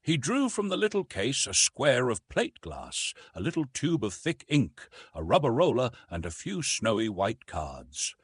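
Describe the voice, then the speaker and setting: A man reads scripted adventure fiction as an audiobook narrator. His voice sits at a middle pitch with a husky texture. He moves through the sentences with lively, varied intonation. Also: measured pace, hardly any pauses, no room sound.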